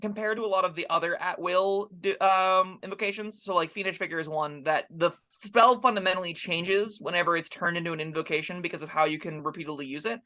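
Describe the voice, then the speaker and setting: Man, bright forceful voice, rapid delivery, casual chat, animated rambling, game reviewer. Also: high voice. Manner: animated, rambling, acts out short quips in asides. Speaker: co-host and tabletop game reviewer. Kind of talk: casual two-person discussion.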